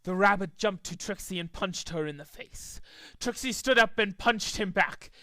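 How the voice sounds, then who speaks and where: A man's voice: high voice, moderate pace, pushed and bright, dry recording. A man reading a story aloud as a dramatic amateur narrator, sometimes stumbling over the words. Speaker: amateur fan fiction reader.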